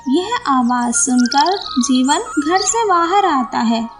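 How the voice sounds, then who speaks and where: A woman's voice: high-pitched, sing-song, slow pace, music in the background. A woman narrating a scripted moral story for children.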